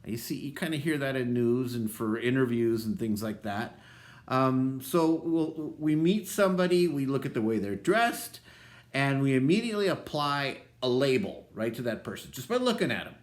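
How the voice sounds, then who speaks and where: Lively Minnesota-tinged pastor, relaxed. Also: male voice, mid-range voice, moderate pace. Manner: relaxed, conversational, many ums and restarts. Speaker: associate pastor. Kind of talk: devotional talk to camera.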